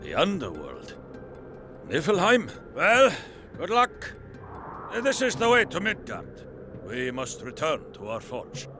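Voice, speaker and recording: An actor playing an excitable, anxious little male dwarf in a video game cutscene. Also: slow, music in the background, full character voice. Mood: lively. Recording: noisy low-quality game screen capture.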